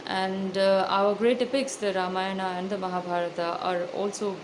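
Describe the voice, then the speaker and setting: A woman with an Indian accent giving thoughtful, articulate interview answers as an author, in a measured way with hesitant pauses. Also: mid-range voice, steady tone. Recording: loud background noise.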